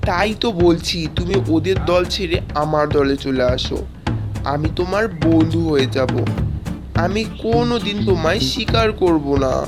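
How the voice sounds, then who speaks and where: A woman voicing a sly, hungry male lion who tricks and sneers, over loud music. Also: lowish voice, expressive, normal speed, natural voice. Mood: coaxing. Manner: sneering, gloating.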